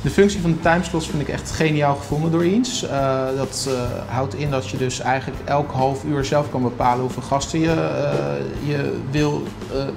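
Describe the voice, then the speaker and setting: A man in his thirties with a gravelly, creaky voice explains how his restaurant runs. He speaks casually and hesitantly, often restarting his sentences. A clear music bed plays under his voice. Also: mid-range voice. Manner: informal, many hesitations and restarts. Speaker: restaurant owner. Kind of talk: promotional interview talk. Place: restaurant interior.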